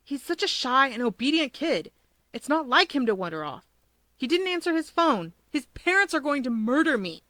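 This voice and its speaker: A woman's voice: high voice, sing-song, moderate pace, fairly bright tone, no echo, pitched above the performer's own voice. A woman voicing an anxious, miserable young man who blurts out his words in distress, in a story reading. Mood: panicked, miserable.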